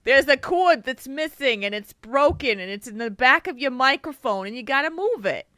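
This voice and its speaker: A woman's voice: sits high, animated, moderate pace, pushed and bright, no echo. A woman co-hosting a gaming podcast, teasing and giggling through casual banter. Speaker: podcast co-host. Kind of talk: casual chat.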